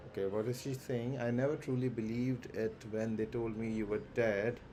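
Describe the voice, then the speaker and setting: A man livestreaming a game, reading its dialogue aloud haltingly, with stumbles and self-corrections. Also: mid-range voice, level tone, dark tone. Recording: clearly noisy background.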